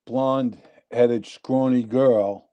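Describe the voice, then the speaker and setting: A man's voice: medium pitch, drawn-out words, muffled recording. A man in his sixties with a New York accent, telling rambling stories as a guest in a casual talk. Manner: rambling, animated storyteller. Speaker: interview guest. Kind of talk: casual storytelling.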